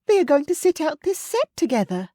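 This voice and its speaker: Woman reader as a gossipy young lady, high and breathless, sound close and dry. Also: big pitch swings, a little fast, mellow, no room sound. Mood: gushing.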